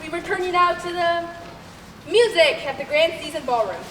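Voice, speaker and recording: A woman's voice: extremely high pitch, moderate pace, recorded from a distance. A woman playing a solemn, florid old-time radio news announcer in a comic stage play. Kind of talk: mock 1930s radio bulletin on stage. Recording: noisy, echoey stage recording from afar.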